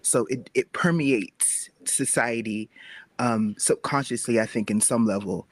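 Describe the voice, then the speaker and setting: A man talking casually and reflectively as a guest creator on a panel, pausing to find his words. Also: medium pitch, no echo.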